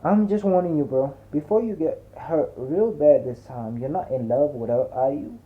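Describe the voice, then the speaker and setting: A man's voice: on the high side, animated, moderate pace, lightly acted. A man voicing a brash, boastful male friend in a slangy, blunt audiobook dialogue. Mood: blunt, warning. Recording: muffled, narrow phone-like sound.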